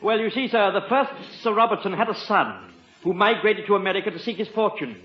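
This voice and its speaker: Male British butler role, fairly high voice, impeccably formal and dry, vintage radio comedy, muffled echoey sound. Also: expressive, brisk. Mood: polite, composed. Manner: formal, precise, deferential, dry. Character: very proper, dryly witty English butler. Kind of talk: 1950s radio comedy acting.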